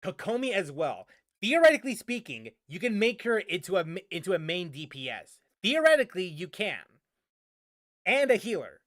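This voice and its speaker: High-pitched, animated man chatting on stream. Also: normal speed, dry recording, studio quality. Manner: rambling, repeats phrases for emphasis, casual swearing. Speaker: gaming streamer answering viewers. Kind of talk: casual livestream chat about games.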